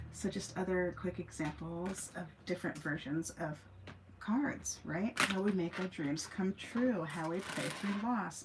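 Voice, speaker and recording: Female self-help host, lowish voice, musing and digressive, unscripted talk over clear background noise. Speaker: host, former teacher. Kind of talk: casual spiritual self-help talk to camera. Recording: clearly noisy amateur recording.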